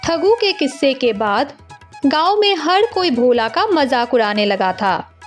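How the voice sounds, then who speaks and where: A woman's voice: high voice, very animated, unhurried, soft background music. A woman narrating a scripted village story as a storyteller.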